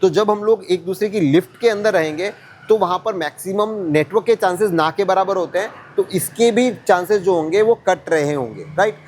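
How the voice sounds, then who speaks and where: A man's voice: sits high, a little fast, darkish tone, slight background noise. A man hosting a product test vlog, explaining to viewers as friends and mixing in English words. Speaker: host testing walkie-talkie handsets.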